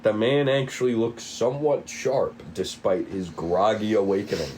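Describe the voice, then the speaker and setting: A man's voice: lowish voice, steady tone, moderate pace, darkish tone, slight background noise, poor audio. A man reading stage directions aloud as the narrator of a table read.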